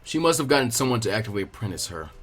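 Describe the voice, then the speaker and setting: A man puts on the voice of a gruff veteran ninja teacher in an anime fan story. He speaks low, with a dry, unhurried and dismissive manner, as if effort bores him. Music plays underneath. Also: sing-song. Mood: weary, explaining. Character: gruff, lazy adult male elite ninja instructor. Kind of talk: acted fan fiction reading.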